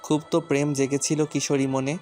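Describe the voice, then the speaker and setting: A man playing a stern, gruff husband in an audio story, curt and commanding as he teases and scolds his wife. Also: on the high side, flat delivery, a little fast, mellow, faint music behind, lightly acted. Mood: mocking, teasing. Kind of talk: audio story character acting.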